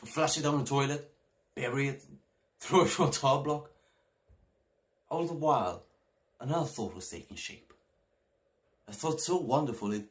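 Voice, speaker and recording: A man performing a theatrical monologue as a disturbed, childlike young man, halting and swinging between hushed and excited. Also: mid-range voice, pitched above the performer's own voice, natural voice. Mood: anxious, excited.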